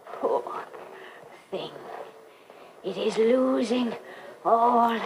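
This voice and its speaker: An elderly Irish wife in a radio play, mournful and given to rambling. She speaks very slowly, lingering over phrases and pausing as she broods. The old cassette recording adds a faint layer of noise. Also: fairly high pitch. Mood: mournful, wistful. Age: older. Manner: slow, halting, drawn-out phrasing with long pauses.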